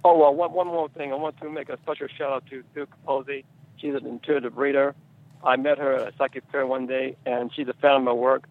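Male screenwriter guest, halting delivery with fry, muffled low-fidelity recording. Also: flat delivery. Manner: halting, repeats words and phrases. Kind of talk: conversational interview answers.

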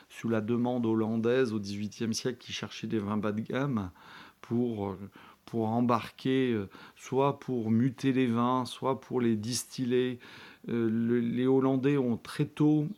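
A man from the Bordeaux area, a winemaker answering interview questions in a thoughtful, halting way with many restarts. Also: mid-range voice, mellow. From France, Bordeaux area.

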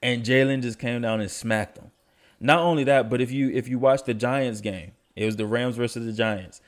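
A man's voice: mid-range voice, moderate pace. Man, young adult, stuffy nose, casual podcast host, slangy, easygoing delivery. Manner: laid-back, slangy, enthusiastic about stats. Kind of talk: casual sports podcast talk.

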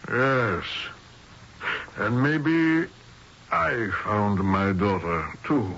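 A man's voice: lowish voice, big pitch swings, very slow, vocal fry, heavily acted. An actor playing an overbearing, exasperated middle-aged father in a radio drama, scolding and blustering. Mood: exasperated, relenting. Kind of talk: radio mystery drama acting. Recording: dull, hissy old radio broadcast.

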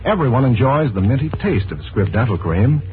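A man's voice: mid-range voice, big pitch swings, brisk, soft background music. A man announcing and reading scripted sponsor copy on 1940s radio, polished and emphatic like a salesman. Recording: tinny narrow-band 1940s radio broadcast.

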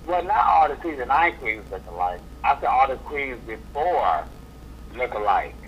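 A man's voice: mid-range voice, big pitch swings, moderate pace, a little hiss or hum. A man, a drag performer, talking as a guest in a casual interview, sassy and blunt in his opinions. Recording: dull lo-fi recording.

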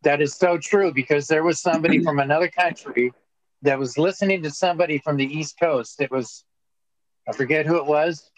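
A man's voice: a little high, brisk, dry recording, low-quality recording. A man co-hosting a podcast, chatting casually and telling rambling, joking stories. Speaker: podcast co-host. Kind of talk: casual chat.

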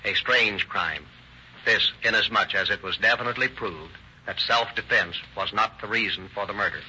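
A man giving formal, deliberate scripted narration on a 1930s police radio program. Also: lowish voice, bright, forceful tone. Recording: muffled, hissy old radio recording.

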